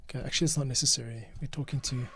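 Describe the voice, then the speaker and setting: A man's voice: mid-range voice, fairly even intonation, very fast, noisy background. A man thinking aloud as he edits audio for a podcast, talking and muttering to himself, sometimes under his breath. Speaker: podcast editor and producer.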